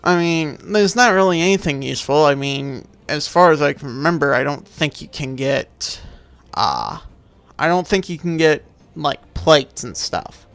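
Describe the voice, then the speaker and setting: A man's voice: a little high, animated, a little slow, fairly bright tone. A man giving casual, rambling game commentary as a video maker, dismissive and freely swearing.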